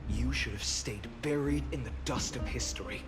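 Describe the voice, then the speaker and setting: Male actor as a noble game swordsman, firm dramatic delivery, mid-pitched, music behind. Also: moderate pace, lightly acted. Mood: scornful. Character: noble male warrior lord, earnest and defiant. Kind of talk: video game voice acting.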